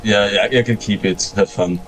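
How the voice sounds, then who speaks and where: A man's voice: fairly low pitch, steady tone, moderate pace, soft background music, rough recording. A man chatting casually with fellow players during an online game. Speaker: fellow player in group voice chat. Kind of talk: casual gaming chat.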